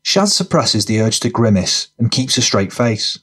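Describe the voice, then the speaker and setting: A man's voice: mid-range voice, sing-song, moderate pace, fairly bright tone, husky. A man narrating a gritty crime audiobook, reading scripted present-tense fiction.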